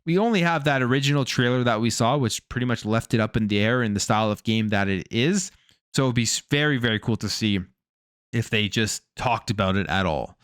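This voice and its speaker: A man's voice: medium pitch, fairly quick, fairly bright tone, clean tone, dry recording, studio quality. A man presenting video game news as a host, in a casual commentary style. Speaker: gaming news YouTube host.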